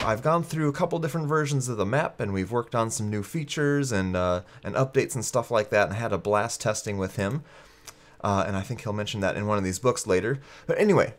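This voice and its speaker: Congested man doing casual game commentary. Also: medium pitch. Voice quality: congested, stuffy-nosed. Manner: conversational, explanatory. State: congested with a cold. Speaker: gaming video host.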